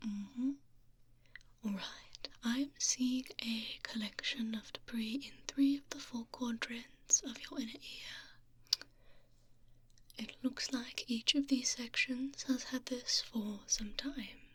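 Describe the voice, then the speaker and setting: A courteous female doctor played by a woman in an ASMR roleplay speaks in a breathy, higher-pitched half-whisper. She takes her time, reassuring and professional, murmuring close to the microphone. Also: steady tone, full character voice. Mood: calm, reassuring. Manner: unhurried, reassuring, murmuring little acknowledgements. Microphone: close binaural ear microphone.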